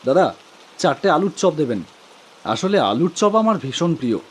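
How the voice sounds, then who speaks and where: A man's voice: on the high side, big pitch swings, normal speed, slight background noise. A man playing a humble, devoted young auto-rickshaw driver who tells his own story earnestly in a cartoon. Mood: reflective. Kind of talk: first-person cartoon horror story narration with dialogue.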